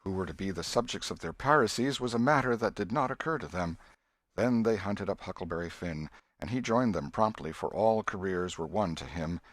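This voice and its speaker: Low-voiced man narrating a classic novel. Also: lively intonation, a little fast, no echo, clean studio sound. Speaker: audiobook reader.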